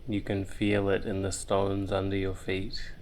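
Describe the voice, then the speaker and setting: A man leading a guided visualization in a soothing, meditative way, leaving long pauses between short phrases. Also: low-pitched, completely flat delivery, darkish tone, a little hiss or hum.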